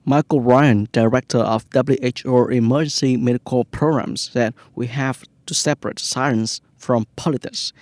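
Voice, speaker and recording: A man's voice: medium pitch, steady tone, moderate pace, few pauses, a little mellow, no echo. A man with a Vietnamese accent, a native Vietnamese speaker, presenting scripted news in English.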